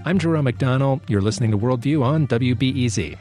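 A man hosting a public radio interview show, asking measured questions with restarts. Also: mid-range voice, music in the background. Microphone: studio microphone.

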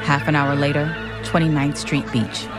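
A woman's voice: low-pitched, little pitch movement, normal speed. A woman reading scripted docudrama narration as the guide. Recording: music bed behind.

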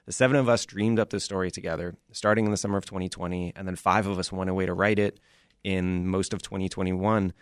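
Male interview guest, producer type. Low register, explanatory and earnest, recorded dry. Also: steady tone, fast talker, smooth, no echo. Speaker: audio drama founder and producer, interview guest. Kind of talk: conversational interview.

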